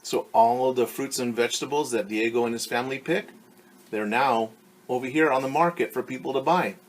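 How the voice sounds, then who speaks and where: A male teacher reading a picture book aloud to children, stopping to explain words and ask them questions. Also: mid-range voice. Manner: pauses to explain words and quiz young listeners.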